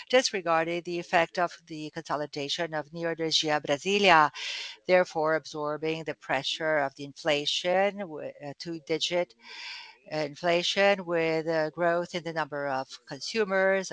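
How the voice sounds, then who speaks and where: Hoarse man reading financial results. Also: on the high side, no room sound, poor audio. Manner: steady reading of figures with fillers. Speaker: chief financial officer. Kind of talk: formal earnings presentation.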